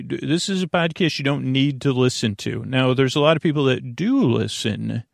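A middle-aged American man with a gravelly voice hosting a sleep podcast, rambling haltingly, repeating himself and trailing off mid-thought. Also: mid-range voice, dry recording, clean studio sound. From United States. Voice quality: gravelly, creaky. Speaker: podcast host.

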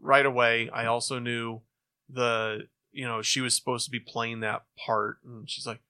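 Middle-aged man, bright voice. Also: medium pitch, little pitch movement, unhurried, smooth. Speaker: podcast co-host. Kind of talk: casual chat.

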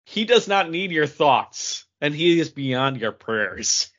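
A man's voice: on the high side, very animated, slow pace, fairly bright tone, dull audio. A man co-hosting a podcast in a casual, conversational style. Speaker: podcast host. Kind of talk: casual chat.

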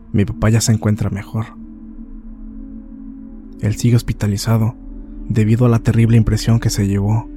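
Narrador de terror de voz uniforme, un actor. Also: male voice, lowish voice. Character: son soberly recounting his father's frightening ordeal. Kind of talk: first-person horror story narration. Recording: music behind the voice.

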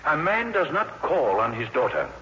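A man's voice: a little high, huge pitch swings, normal speed, sharp tone, exaggerated voice. A man playing a pompous, irritable, well-off father in a 1950s radio drama, with an indignant manner. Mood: irritated. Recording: muffled, noisy old 1950s radio recording.

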